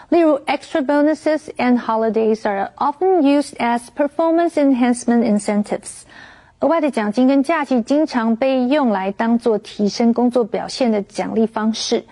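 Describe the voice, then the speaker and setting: A woman teaching vocabulary in a clear, measured, scripted way. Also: a little high, dark tone.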